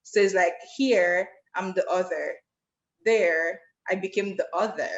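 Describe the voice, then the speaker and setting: A woman from Nigeria with a partly Americanized Nigerian accent, a podcast guest sharing personal stories in an open, animated way. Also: lowish voice, a little slow, highs cut off. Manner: open, storytelling, animated when recounting. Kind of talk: casual personal conversation.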